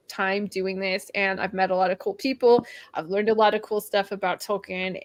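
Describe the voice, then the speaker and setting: A woman's voice: mid-range voice, fairly bright tone, dry recording. A woman hosting an online book club, talking in a chatty, upbeat way with frequent "um"s, at home with a cat nearby. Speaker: host of a book club stream. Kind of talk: casual online book discussion.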